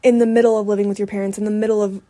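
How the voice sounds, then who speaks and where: A woman's voice: medium pitch, little pitch movement, rapid-fire, warm tone. A woman in her twenties hosting a solo podcast, talking casually in a candid, reflective way with lots of "like" as filler. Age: 20s. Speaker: podcast host. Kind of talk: casual solo podcast talk, personal update.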